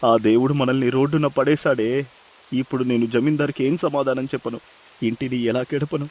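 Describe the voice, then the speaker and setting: A man's voice: mid-range voice, steady tone, a little fast, darkish tone, lightly acted. A man playing a humble, desperate poor farmer in a dramatized moral story, lamenting and pleading deferentially. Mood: anguished.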